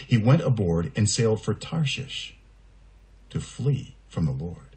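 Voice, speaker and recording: A man reading scripture as a dramatic narrator for a children's puppet show, with an expressive delivery. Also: lowish voice, poor audio.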